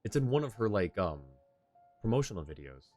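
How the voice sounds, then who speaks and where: Low-voiced chatty male game streamer. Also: big pitch swings, a little fast, darkish tone, soft background music. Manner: rambling, chatty, laughs often. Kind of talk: casual gameplay chat.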